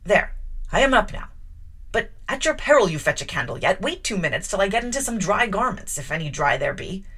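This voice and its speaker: A woman reading an audiobook, voicing a gruff, imperious middle-aged gentleman who has just been woken. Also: medium pitch, big pitch swings, a little fast, full character voice. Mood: brusque. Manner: blustering, imperious.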